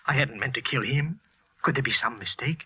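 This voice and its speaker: A man acting a guilt-ridden, jittery office worker confessing to murder in halting, tense phrases, in the narrated style of 1940s radio drama. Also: mid-range voice, husky. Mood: panicked disbelief. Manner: halting, tense, broken phrases with repeated words. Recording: dull old-time radio recording with music.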